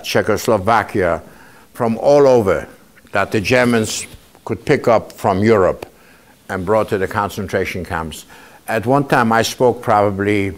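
A man in his seventies, a Holocaust survivor, giving a conversational talk to students and often checking in with them by asking "okay?". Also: lowish voice. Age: 70s. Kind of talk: informal testimony talk to a student audience. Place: indoor museum room.

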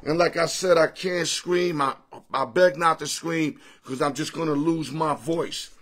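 A middle-aged man with a hoarse, strained voice, hosting a casual sports picks livestream from his home, greeting viewers by name in easygoing slang. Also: a little high, fairly even intonation, unhurried, fairly bright tone. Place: home room full of sports memorabilia with a TV. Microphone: phone or webcam at arm's length.

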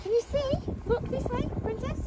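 A woman's voice: very high voice, fairly even intonation, moderate pace. A young woman chatting playfully with a toddler, coaxing in a sing-song way. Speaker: parent vlogging family outing. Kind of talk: casual chat with a toddler. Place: outdoors on a small motor boat on a lake. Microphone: handheld phone camera. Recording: outdoor boat noise.

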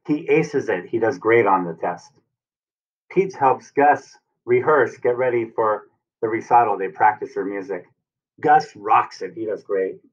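American guy teacher reading a storybook to little kids, asks questions, bit far from the mic, muffled. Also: mid-range voice. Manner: asks the listeners questions and explains simply. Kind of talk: animated picture-book read-aloud for young children. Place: room at home, indoors. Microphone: computer webcam at arm's length or more. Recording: distant, muffled webcam sound.

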